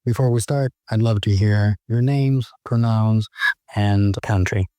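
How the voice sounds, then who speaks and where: A man's voice: lowish voice, unhurried, mellow, no echo, clean studio sound. A man moderating a discussion with prepared questions as an interviewer.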